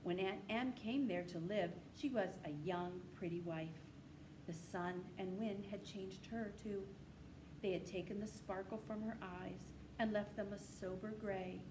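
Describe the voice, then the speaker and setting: A woman reads a children's novel aloud in a measured, descriptive storytelling manner. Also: mid-range voice, steady tone. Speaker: storybook reader. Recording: clearly noisy amateur recording.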